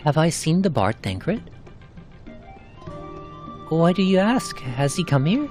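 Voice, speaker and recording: A man's voice: fairly high pitch, big pitch swings, takes it slowly, darkish tone. A man voicing a guarded, anxious young woman in a fantasy game, speaking formally and warily. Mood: wary, anxious. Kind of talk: amateur narrated fantasy game cutscenes. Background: music.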